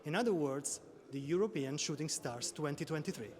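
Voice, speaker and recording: A man's voice: on the high side, lively intonation, brisk, a little mellow. A man hosting interviews with actors, asking brief, direct questions. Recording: crowd chatter behind.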